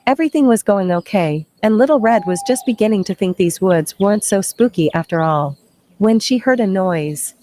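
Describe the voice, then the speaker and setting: A woman's voice: medium pitch, lively intonation, moderate pace, dark tone, slight background noise. A woman hosting story time for children, playful and chatty, putting questions to her young listeners.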